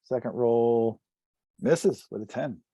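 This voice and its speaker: A man talks casually as a player in a tabletop roleplaying session. His voice is of ordinary pitch and is recorded dry, with hardly any room sound. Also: takes it slowly, mellow, husky, no room sound. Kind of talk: casual game table chat.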